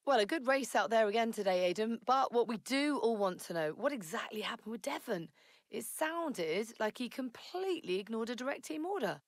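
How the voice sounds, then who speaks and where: A woman's voice: a little high, animated, brisk, dry recording, studio quality. An actress playing a poised, probing motorsport interviewer in a game, polished and inquisitive in her questions. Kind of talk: broadcast interview in game cutscene.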